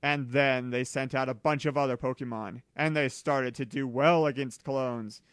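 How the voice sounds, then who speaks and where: A man reading fan fiction aloud as narrator in a plain, deliberate way. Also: medium pitch, fairly even intonation, clear, resonant tone, dry recording, clean studio sound.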